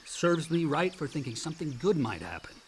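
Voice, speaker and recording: A man's voice: fairly high pitch, very animated, a little fast, slight background noise. A man acting a bitter, hot-tempered young adult son in a naturalistic radio play, blunt and sarcastic. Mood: bitter, agitated.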